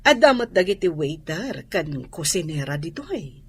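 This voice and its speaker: Lovestruck restaurant owner, Filipino accent, low voice, very expressive, radio drama. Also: female voice, moderate pace, a little hiss or hum. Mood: fond, teasing. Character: well-off woman restaurant owner smitten with her younger driver. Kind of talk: Ilocano radio drama acting.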